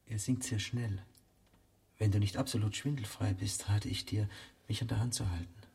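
An actor playing a calm, eerie devil who guides and lectures in a measured way in a radio play. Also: male voice, lowish voice, exaggerated voice. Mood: calm warning. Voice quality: husky, gravelly. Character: devil, calm eerie male guide through unrealized worlds. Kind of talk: German radio play acting.

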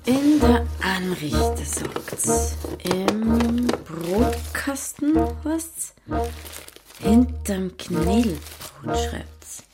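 An actress playing a feisty, curious young village innkeeper with a Bavarian accent, blunt and natural in an audio drama. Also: female voice, on the high side, expressive, drawn-out words, over loud music. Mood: curious, puzzled.

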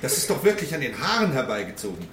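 Indignant lawyer in an echoey room. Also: male voice, medium pitch, big pitch swings, quick pace, a bit bright. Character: indignant, combative defence lawyer. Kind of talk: naturalistic radio drama acting. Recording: echoey room, faint noise.

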